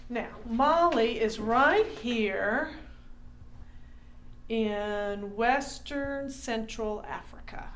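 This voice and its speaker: A woman's voice: a little high, very slow. Teacherly woman in an echoey room. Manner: teacherly, addressing children. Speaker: children's puppet show host. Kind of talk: scripted teaching skit for children.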